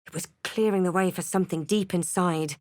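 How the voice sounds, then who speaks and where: A brooding female fantasy adventurer, acted by a woman, telling her own journey for a video game. Her voice is somewhat low and closely, dryly recorded. The delivery is measured, literary storytelling. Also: steady tone, darkish tone, dry recording, clean studio sound, close to an everyday voice. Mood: grave, storytelling. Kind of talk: scripted first-person game narration.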